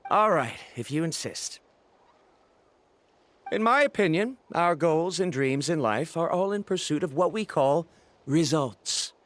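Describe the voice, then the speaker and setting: An actor playing a proud, stubborn scholar in a video game, speaking formally and argumentatively with a touch of bitterness. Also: male voice, on the high side, animated, a little slow, fairly bright tone. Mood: lecturing, self-assured. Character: proud, stubborn, embittered adult male scholar. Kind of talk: video game character dialogue.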